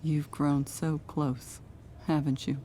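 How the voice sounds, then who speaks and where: A woman playing a gentle middle-aged mother in a video game drama, tearful and distraught. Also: deep voice, level tone, slow pace, slight background noise. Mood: tender.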